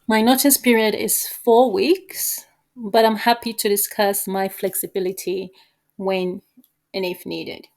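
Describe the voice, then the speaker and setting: A woman answering as a job candidate, with formal, measured delivery of rehearsed interview answers. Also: mid-range voice, level tone, creaky, a little mellow.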